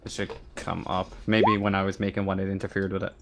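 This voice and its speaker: A man running a tabletop game as game master, narrating casually and haltingly, often repeating words as he thinks. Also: sits low, completely flat delivery, a bit bright.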